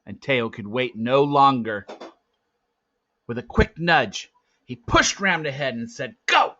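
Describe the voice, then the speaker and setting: A man reading a storybook aloud as narrator, with dramatic, suspenseful swells and hushed drops. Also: medium pitch, expressive, slow pace, slight background noise. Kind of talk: expressive storybook read-aloud. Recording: dull lo-fi, roomy echo.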